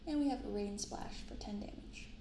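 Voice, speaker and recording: A soft-spoken woman hosting an ASMR video, reading aloud and commenting casually in a gentle, quiet delivery. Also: medium pitch, moderate pace. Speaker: host of a card-opening channel. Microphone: binaural microphone. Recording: roomy sound with some background noise.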